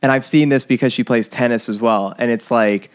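A man's voice: medium pitch, steady tone, a little fast, clear, resonant tone. A young man co-hosting a casual podcast, rambling through his questions with restarts. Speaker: podcast co-host.

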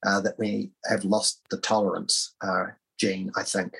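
A man co-hosting a talk show, giving blunt, dismissive opinions in a halting way with many fillers. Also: deep voice, flat delivery, vocal fry, pushed and bright, breathy edge. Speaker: co-host.

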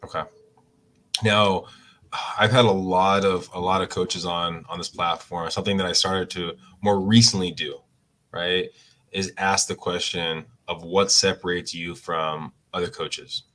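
Deep-voiced man hosting a podcast. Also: level tone, fairly bright tone. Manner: halting, stumbles and repeats words. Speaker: podcast host. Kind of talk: casual interview chat.

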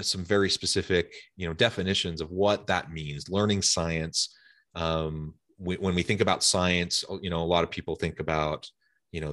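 A man with a very deep, low voice hosts an interview podcast. He sounds eager and conversational, often pausing on "um" and "uh" and restarting phrases as he talks. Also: a bit bright. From United States. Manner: enthusiastic, filler-heavy conversational hosting. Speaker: podcast host.

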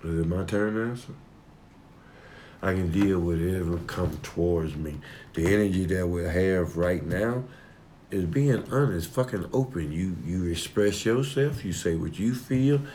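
A man with a deep voice talking emphatically in a heated personal conversation. He swears freely, rambles, and slips into a preaching tone. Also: measured pace, mellow, a little hiss or hum.

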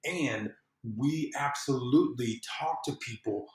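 A man's voice: medium pitch, unhurried. A man chatting casually as a podcast guest, explaining in a rambling way and often repeating his phrases. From southern United States. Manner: rambling, repeats phrases, explains through acted-out everyday examples. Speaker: podcast guest, civic engagement consultant. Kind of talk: casual conversational interview. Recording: roomy, echoey sound.

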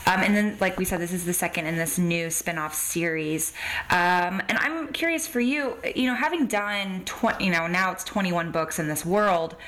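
Female interviewer for a podcast, notably low pitch, conversational delivery. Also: moderate pace, a bit bright, poor audio. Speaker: podcast host.

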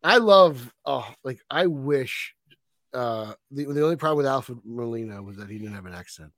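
A man's voice: medium pitch, lively intonation, normal speed, a bit bright, no room sound. A man co-hosting a podcast, chatting casually and joking, laughing often. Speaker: podcast co-host. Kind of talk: casual chat.

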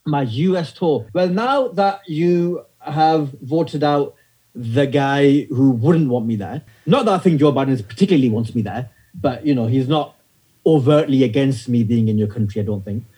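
A comedian in his thirties with a northern English accent, telling stories in a relaxed interview with wry, self-deprecating humor. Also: medium pitch, moderate pace, breathy edge, low-quality recording. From north of England. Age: 30s. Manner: wry storyteller, self-deprecating, many fillers. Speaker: stand-up comedian guest. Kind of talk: casual interview chat.

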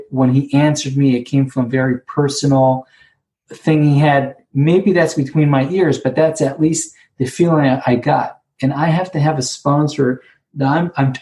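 A man with a Hebrew accent, Hebrew being his first language, sharing about recovery and sponsorship at an online conference, speaking haltingly and repeating words as he searches for them. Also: mid-range voice, darkish tone, a little hiss or hum, poor audio. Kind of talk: personal share at an online conference. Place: sitting alone, remote.

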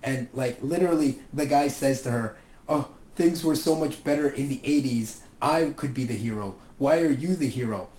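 A young man chatting casually about movies, swearing often and ranting with animated energy. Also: mid-range voice, normal speed. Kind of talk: casual chat. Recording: echoey room with faint ambient noise.

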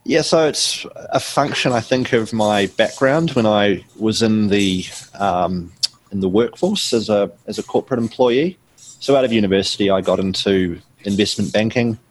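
A middle-aged man with a New Zealand accent, answering interview questions in a measured, explanatory way with frequent ums and restarts. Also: on the low side, poor audio. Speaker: guest author.

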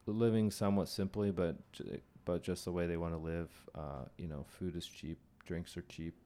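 A young man from California with a very low voice chats in an easy, rambling way. He often restarts his sentences and keeps an upbeat tone. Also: steady tone, moderate pace, warm tone. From California, USA. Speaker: conversation guest. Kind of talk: casual chat.

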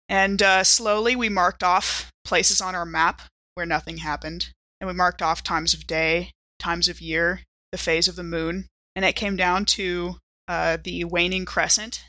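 Female tabletop player, lowish forceful voice, dry close sound, deliberate storyteller. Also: no echo. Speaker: player in a tabletop roleplaying game. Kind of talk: improvised first-person storytelling in character.

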